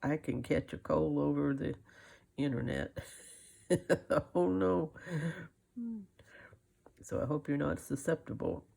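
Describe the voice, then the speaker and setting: Slow, husky, creaky older woman. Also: sits low, animated, warm tone. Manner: folksy, rambling, muttering to self. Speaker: craft video host. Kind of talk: casual hand-stitching tutorial, thinking aloud.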